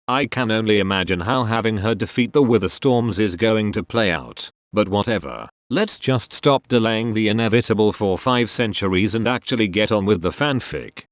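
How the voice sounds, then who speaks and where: A man's voice: on the low side, normal speed, few pauses, no room sound. A synthetic, robotic man's voice reading a scripted story aloud in a mechanical, detached way. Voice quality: synthetic, robotic text-to-speech voice. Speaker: narrator reading fan fiction.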